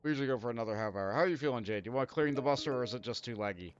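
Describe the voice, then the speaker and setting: A man's voice: mid-range voice, rapid-fire, lo-fi sound, a little hiss or hum. A young man hosting a casual gaming stream, rambling and laughing often as he plays. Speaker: streamer host.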